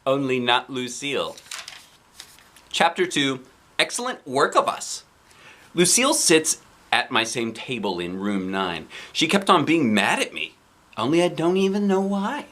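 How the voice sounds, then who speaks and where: Animated man reading a storybook, bright voice. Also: medium pitch, unhurried. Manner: playful, expressive, theatrical. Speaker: dad reading a children's book aloud. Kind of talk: animated children's storybook narration.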